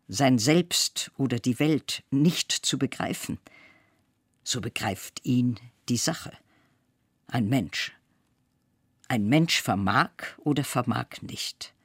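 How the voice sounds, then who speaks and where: A reader giving a slow, measured literary reading with dramatic pauses. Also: mid-range voice, breathy edge, no echo.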